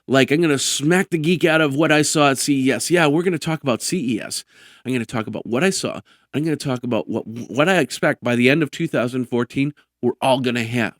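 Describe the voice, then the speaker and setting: Hoarse man hosting tech news. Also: mid-range voice, fast talker, no room sound, clean studio sound. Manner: energetic, rambling with many fillers. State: hoarse, raspy voice. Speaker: tech show host. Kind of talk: live tech news podcast.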